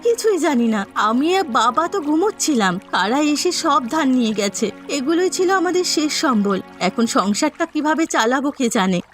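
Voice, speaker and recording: A woman playing a gentle, anxious young daughter of a poor village family, plaintive and pleading, in a melodramatic cartoon. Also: high-pitched, big pitch swings, brisk, few pauses, faint music behind. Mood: sad, anxious.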